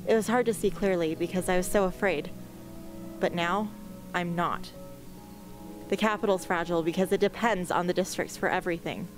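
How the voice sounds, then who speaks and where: A woman's voice: on the low side, normal speed, lightly acted. Woman acting an earnest young rebel girl, music behind. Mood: sombre, resolute. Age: young adult. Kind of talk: amateur radio play acting. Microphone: close studio microphone on stand.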